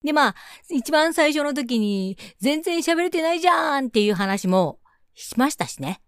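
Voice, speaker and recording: A woman's voice: high voice, very animated, moderate pace, no echo, clean studio sound. A woman hosting a casual radio chat, mixing polite speech with playful teasing. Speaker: radio show host.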